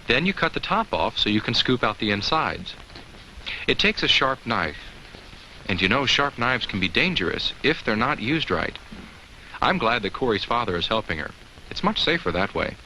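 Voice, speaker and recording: A man's voice: medium pitch, big pitch swings, moderate pace, sharp tone. A man voicing a cheerful, kindly talking pumpkin who gives children simple safety advice in a 1980s educational film, asking them questions. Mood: instructive. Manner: simple, instructive, addresses children with questions and chuckles. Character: talking jack-o'-lantern, cheerful, kindly, safety-minded narrator. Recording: faintly noisy old educational film soundtrack.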